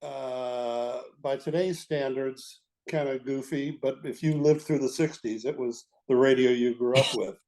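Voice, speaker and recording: Older man with a mellow voice. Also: medium pitch, fairly even intonation, a little slow, few pauses, low-quality recording. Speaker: guest, veteran radio executive. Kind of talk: reminiscing interview talk.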